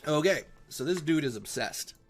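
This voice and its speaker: Man chatting casually, halting, over faint music. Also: fairly high pitch, expressive. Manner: informal, slangy, halting while thinking aloud. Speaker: host of live classic novel readings. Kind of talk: casual book discussion between chapters.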